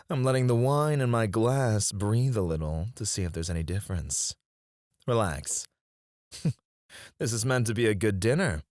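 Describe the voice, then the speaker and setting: A man playing a possessive, outwardly gracious CEO in an intimate scripted ASMR roleplay, speaking in a measured, suave manner. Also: fairly low pitch, dry recording, studio quality. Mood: suave, coaxing. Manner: measured, suave, soft chuckles and sighs. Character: smooth, possessive male CEO, outwardly gracious, quietly controlling.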